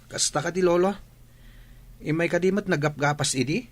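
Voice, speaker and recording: An actor playing a carefree, cheeky young student who talks flippantly and defensively in a radio drama. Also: male voice, fairly high pitch, moderate pace, lightly acted. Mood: flippant, protesting. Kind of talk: regional radio drama acting.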